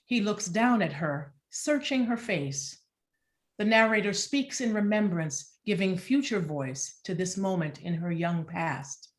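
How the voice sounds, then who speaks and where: A woman giving a deliberate, expressive scripted narration as she reads out stage directions for a play. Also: mid-range voice. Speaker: playwright reading stage directions.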